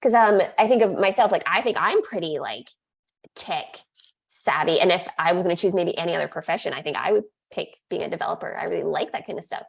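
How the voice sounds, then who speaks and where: A woman's voice: on the low side, fast talker, creaky, husky. A woman talking casually on a podcast as a co-host, chatty and full of "like" fillers. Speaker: podcast co-host, staff member.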